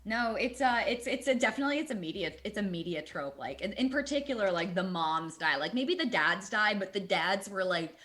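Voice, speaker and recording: A woman voice actor chatting as a guest, her speech earnest and animated as she strings long thoughts together. Her voice sits in an ordinary middle range for a woman. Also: medium pitch, a little fast, hardly any pauses. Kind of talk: casual video-call chat.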